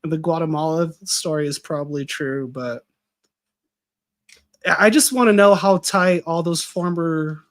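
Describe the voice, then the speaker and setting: Man from the US, slightly higher-pitched, chatty podcast guest who rambles, voice a bit worn. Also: unhurried. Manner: rambling, with many fillers and restarts. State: losing his voice a little. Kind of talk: casual conversation.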